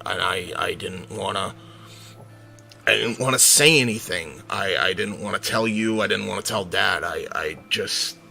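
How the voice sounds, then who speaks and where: A man playing a defensive, hurt teenage son in an audio drama, speaking haltingly and earnestly, protective of his family. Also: fairly low pitch, gravelly, bright, forceful tone, full character voice. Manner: halting, hesitant, earnest confession. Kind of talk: amateur audio drama roleplay. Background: music.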